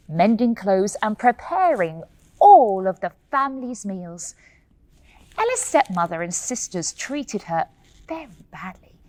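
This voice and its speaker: A woman telling a children's bedtime fairy tale in an expressive, playful way, laughing often. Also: fairly high pitch, dry recording. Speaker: storyteller. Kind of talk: children's bedtime story reading.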